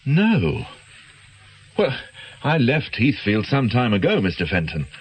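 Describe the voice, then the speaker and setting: An actor playing an evasive, anxious doctor with a British accent in a radio drama, speaking formally and hesitantly. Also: male voice, medium pitch, wildly swooping pitch, takes it slowly, breathy edge, full character voice. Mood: defensive, uneasy. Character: evasive, anxious male doctor with a gambling past.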